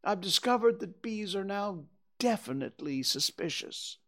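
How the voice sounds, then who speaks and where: A storyteller voicing a gentle, slow-witted male toy bear who talks slowly and stammers. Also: mid-range voice, lively intonation, voice pushed up. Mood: anxious. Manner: slow, ponderous, hesitant with stammers. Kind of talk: storybook reading.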